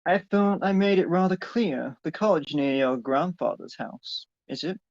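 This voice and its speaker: A man's voice: a little high, expressive, a little slow, creaky, darkish tone, muffled recording. A man playing a stern, middle-aged businessman father, curt and commanding, in a dramatic story reading. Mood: cold, businesslike.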